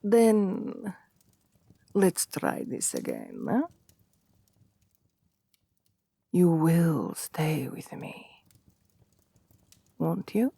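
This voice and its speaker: A woman's voice: mid-range voice, dry recording, heavily acted. A woman voicing a seductive, domineering vampire queen in an intimate ASMR roleplay, haughty and possessive, with a languid, commanding purr that often drops toward a whisper. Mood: possessive, pleased. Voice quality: breathy, often dropping to whispers. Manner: languid, condescending, commanding purr with soft chuckles.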